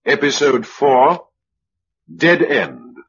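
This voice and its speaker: British guy announcer, slow and dramatic swings, formal, echoey muffled radio. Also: mid-range voice, animated, a bit bright, soft background music. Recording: echoey, dull old radio recording.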